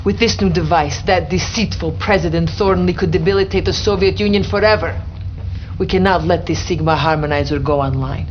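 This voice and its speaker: An actress playing a grave, patriotic Soviet intelligence officer giving dramatic briefings in a game cutscene. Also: female voice, on the low side, brisk, dull audio, slight background noise, natural voice. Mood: grave, urgent.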